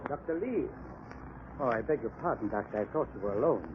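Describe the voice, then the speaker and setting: An actor playing an officious, suspicious hospital laboratory chief in an old-time radio crime drama, agitated and halting. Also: male voice, medium pitch, lively intonation, natural voice. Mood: polite, uneasy. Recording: muffled, noisy old radio recording.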